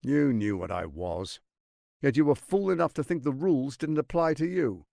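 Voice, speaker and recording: A man's voice: medium pitch, expressive, moderate pace, creaky, dark tone, no room sound, studio quality. A man playing a suave, scheming, arrogant tyrant lord in a video game, with a pompous, silky, theatrical delivery. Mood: cold, menacing.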